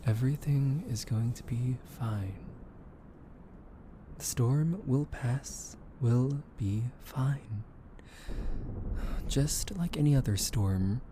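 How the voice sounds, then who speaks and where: A young man in a soft ASMR roleplay, gently soothing and teasing the listener with soft laughs, hesitant fillers and the odd whispered aside. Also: medium pitch, background noise.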